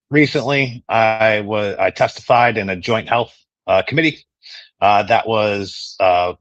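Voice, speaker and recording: A man interviewed as a guest, answering in a measured, halting way with frequent fillers. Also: on the low side, a bit bright, dry recording, highs cut off. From New York State. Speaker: guest, home care executive.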